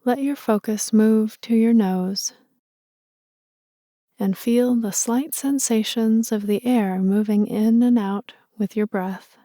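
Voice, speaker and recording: A woman leading a guided meditation in a slow, soothing and gentle way. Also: fairly high pitch, no room sound, studio quality.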